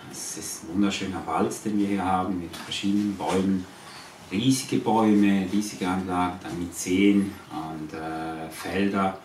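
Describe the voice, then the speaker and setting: A man from Switzerland with a Swiss accent, answering informal interview questions in a halting way, searching for words. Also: low voice. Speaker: interviewee, eco-farm owner. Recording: echoey, distant, noisy ambient recording.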